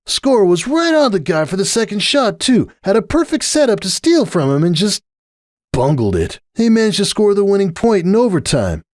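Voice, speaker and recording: A man's voice: sits high, sing-song, normal speed, darkish tone, no echo, clean studio sound, voice pushed up. A man voicing a young male pegasus athlete from a cartoon, wry and dramatic, with a strained, grumbling delivery. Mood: resigned. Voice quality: strained, wheezy.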